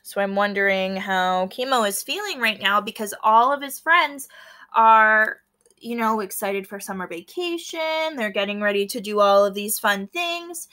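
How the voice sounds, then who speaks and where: A woman teacher reading a book aloud to fourth graders, pausing to explain and prompting them to notice and wonder. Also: medium pitch, expressive, bright, forceful tone. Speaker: elementary school teacher. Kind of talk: read-aloud lesson for fourth graders, explaining as she goes.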